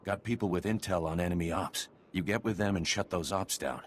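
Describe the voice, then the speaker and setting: A man's voice: low-pitched, a little fast, slight background noise, close to an everyday voice. An actor playing a watchful male intelligence operative in a video game, speaking in terse, clipped phrases. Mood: brisk, guarded.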